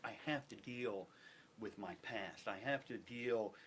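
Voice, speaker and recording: Emphatic man over clear background noise. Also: on the low side, fairly bright tone, low-quality recording. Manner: emphatic, deliberate, repeats phrases for emphasis. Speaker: guest, theater company representative. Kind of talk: earnest panel interview talk.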